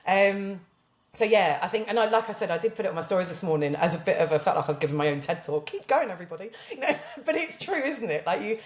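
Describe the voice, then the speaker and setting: A woman's voice: mid-range voice, expressive, quick pace, echoey room. A woman with a southern English accent, chatting as a podcast guest, laughing often and animatedly retelling conversations. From southern England. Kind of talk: casual chat.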